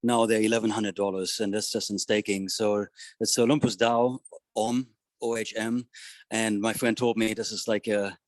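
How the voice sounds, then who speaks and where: A man's voice: fairly low pitch, level tone, moderate pace, no room sound. A man speaking casually in a meeting, hesitant and often searching for the right word. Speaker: meeting participant. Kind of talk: casual self-introduction.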